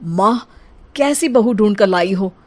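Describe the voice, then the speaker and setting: A woman's voice: mid-range voice, animated, a little slow, darkish tone, close to an everyday voice. A woman voicing a tired young husband who whines and complains, in a comic cartoon story. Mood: exasperated.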